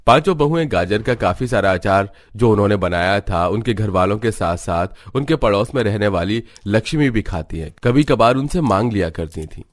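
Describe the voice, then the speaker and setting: A man's voice: fairly low pitch, animated, normal speed, hardly any pauses, no echo, clean studio sound. A man reading scripted storytelling narration for a cartoon tale.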